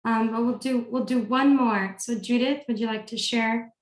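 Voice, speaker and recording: A woman's voice: a little high, steady tone, moderate pace. A woman leading an online group training session, politely giving instructions and prompts to participants. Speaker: training facilitator. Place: online video call. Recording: roomy, echoey video call audio.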